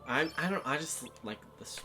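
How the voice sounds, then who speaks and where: Man with lively, swooping pitch, game music behind. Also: normal speed. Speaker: co-host of a let's-play show. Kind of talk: casual gaming banter. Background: video game music.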